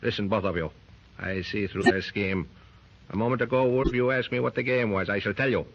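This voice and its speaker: A man's voice: on the low side, a little fast. An actor playing a scheming, commanding male villain in a 1930s radio drama, cold and menacing, on a muffled old recording.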